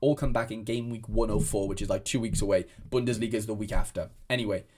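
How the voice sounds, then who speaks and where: A man's voice: lowish voice, steady tone, quick pace, a little mellow, slight background noise. Rambling young man. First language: Welsh. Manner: rambling, many restarts and fillers. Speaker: fantasy football content creator. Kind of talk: casual chat to camera.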